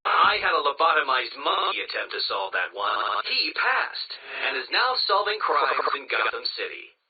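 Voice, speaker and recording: A man's voice: on the high side, big pitch swings, moderate pace. An arrogant male game villain, pompous and petty, dripping with theatrical sarcasm. His slightly raised, swooping voice comes through dull sound with faint noise. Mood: scornful. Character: arrogant, sneering male villain, petty and insulting puzzle-master. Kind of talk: video game villain voice acting. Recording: muffled, slightly noisy radio transmission sound.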